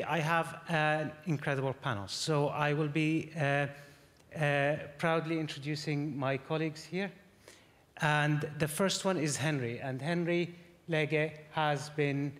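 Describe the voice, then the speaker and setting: A man's voice: on the high side, fairly bright tone. Hesitant man moderating a panel. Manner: hesitant, many fillers. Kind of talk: introducing panelists. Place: large conference hall. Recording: reverberant hall with audience.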